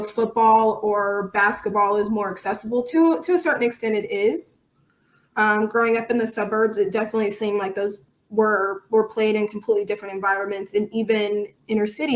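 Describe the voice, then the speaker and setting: Echoey-sounding woman, earnest podcast guest. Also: mid-range voice. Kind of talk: conversational interview answers.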